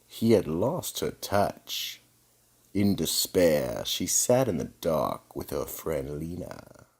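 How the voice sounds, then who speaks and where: An extremely deep, creaky-voiced horror host, played by a man, narrates an old-time radio mystery. He is slow and ominous, with theatrical swells and drops in his delivery. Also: sing-song, darkish tone, heavily acted. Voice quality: gravelly, husky. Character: sinister slow male horror host, keeper of a vault.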